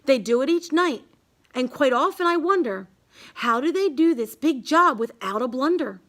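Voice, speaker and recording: A woman reading a rhyming children's picture book aloud in a measured, singsong way. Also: high voice, expressive, a bit bright. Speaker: storybook reader.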